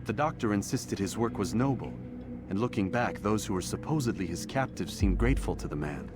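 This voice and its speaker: A man's voice: fairly low pitch, expressive, brisk, natural voice. An actor playing a brooding, questioning young medieval assassin in a video game, terse and formal in his speech. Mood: doubting. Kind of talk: video game drama acting. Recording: loud game music under the voice. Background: video game music.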